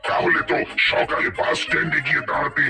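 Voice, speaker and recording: Man as avuncular older cartoon gentleman, breathy delivery. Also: fast, far from the mic, close to an everyday voice. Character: kindly older male boss figure addressing children. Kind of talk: cartoon voice acting.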